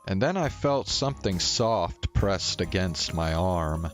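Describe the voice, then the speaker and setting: Low-voiced, unhurried man. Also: lively intonation, faint music behind. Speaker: streamer. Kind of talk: reading visual novel text aloud, with casual asides.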